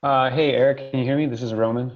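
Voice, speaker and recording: A man's voice: mid-range voice, steady tone, fast talker, faint music behind. A man from Ukraine who grew up in Colorado, speaking casually and a little hesitantly as a webinar participant. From born in Ukraine, grew up in Colorado from age nine. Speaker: webinar participant, study abroad company owner. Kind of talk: casual introduction in a discussion. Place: remote online call. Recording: dull lo-fi online call audio.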